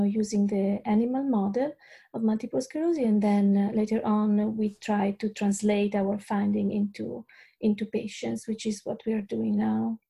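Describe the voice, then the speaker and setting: Italian-accented neurologist guest, middle-aged woman; husky, gravelly, mellow; hesitant, lots of ums. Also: medium pitch. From Italy. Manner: hesitant, many fillers and restarts. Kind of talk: podcast interview.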